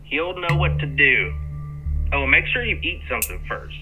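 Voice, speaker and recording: A man's voice: fairly high pitch, very animated, moderate pace, phone-quality sound, music in the background. An actor playing a goofy, chatty male buddy who rambles in a folksy way on a voicemail in an audio drama. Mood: breezy, chatty.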